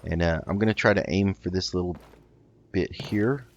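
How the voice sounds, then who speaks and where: A man doing casual game commentary, thinking aloud in an unhurried, musing way as he roleplays. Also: sits low, lo-fi sound. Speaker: gaming host.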